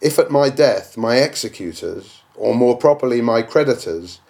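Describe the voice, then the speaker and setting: A man's voice: medium pitch, lively intonation, moderate pace, creaky, a little mellow. Man giving declamatory literary narration. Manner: declamatory, oratorical, with rhetorical questions. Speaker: audiobook reader.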